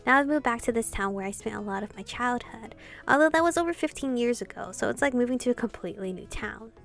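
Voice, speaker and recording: A woman doing casual, expressive gameplay commentary, reading visual novel dialogue aloud and adding sweary asides. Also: fairly high pitch, fast, gravelly. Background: game music.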